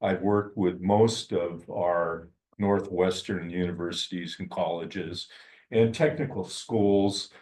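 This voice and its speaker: An older man from Washington State introduces himself at a public meeting, speaking loudly with halting fillers. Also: low voice, fairly even intonation. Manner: loud, projecting, halting with fillers. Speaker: audience member, cleanup business owner. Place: community meeting room.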